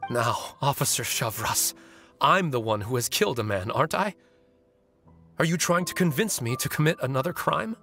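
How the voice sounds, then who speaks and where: A man playing a calculating, vengeful novelist in a video game, speaking in a measured, theatrical, literary way. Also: mid-range voice, lively intonation, sharp tone, faint music behind. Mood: sly, guarded. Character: eloquent, brooding male novelist, calculating and vengeful.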